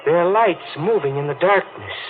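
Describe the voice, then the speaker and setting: A man acting the calm, taunting ghost of a drowned man in old-time radio horror, slow, menacing and hypnotic. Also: on the high side, close to an everyday voice. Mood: eerie, intense. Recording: tinny vintage radio recording with music.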